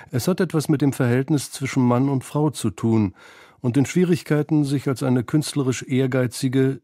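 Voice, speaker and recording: A man narrating a scripted radio documentary in a measured, analytical manner, close to a studio microphone. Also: mid-range voice, warm tone, dry recording. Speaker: feature narrator.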